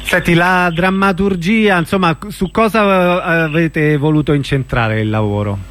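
Man, radio host, fairly high voice, informal, conversational questioning. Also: unhurried, a bit bright, slight background noise.

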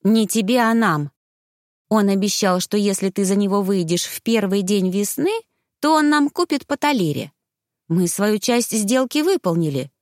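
A woman voicing a bold, businesslike young female friend in an audiobook, brisk and demanding. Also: fairly high pitch, very animated, dry recording, studio quality, voice pushed up. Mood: challenging.

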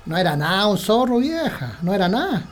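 A man's voice: high-pitched, sing-song, brisk, a little hiss or hum. A man playing a stubborn, boastful old farmer in a radio drama, with a rural Chilean accent and folksy country speech. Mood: gruff, dismissive.

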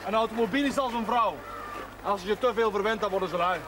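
A man's voice: high-pitched, fast talker, background noise, natural voice. An actor playing a brash, teasing village man in a television drama, with colloquial bantering speech. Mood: cocksure.

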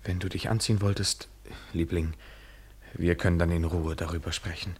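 Radio drama, 1970s German: cool, controlling male lover, low husky voice, measured literary delivery, evasive politeness. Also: fairly even intonation. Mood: awkwardly courteous. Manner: measured, deliberate, literary phrasing. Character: detached, domineering male lover, coolly courteous and evasive.

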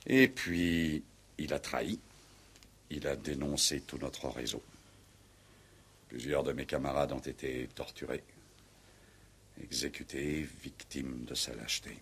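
An actor in a 1960s French radio play playing a proud, middle-aged former Resistance commander, speaking in a grave, formal, solemn way. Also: male voice, extremely low pitch, vocal fry, fairly bright tone, breathy edge, full character voice.

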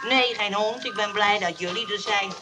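A woman's voice: medium pitch, moderate pace, over loud music, lightly acted. An actress playing a sensible, principled shop woman in a radio play, speaking in a colloquial, matter-of-fact way. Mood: firm.

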